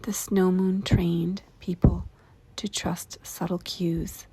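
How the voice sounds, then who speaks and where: A woman narrating a guided meditation in a hushed, whispery, creaky voice, with deliberate short phrases and spacious pauses. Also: lowish voice, flat delivery, no room sound.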